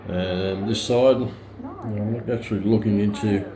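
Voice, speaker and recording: A man giving a casual, unscripted product demonstration as a reviewer, hesitant and halting as he thinks aloud. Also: lowish voice, fairly even intonation, background noise. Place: outdoors or by a window in bright daylight, filming a radio.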